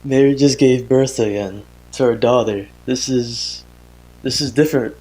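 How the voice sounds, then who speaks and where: A man playing an earnest young father recording a confessional audio diary in a horror drama, speaking haltingly and hesitantly. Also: medium pitch, a little mellow, lightly acted, low-quality recording. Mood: thoughtful, content. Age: young adult. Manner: halting, hesitant, sighing, self-interrupting.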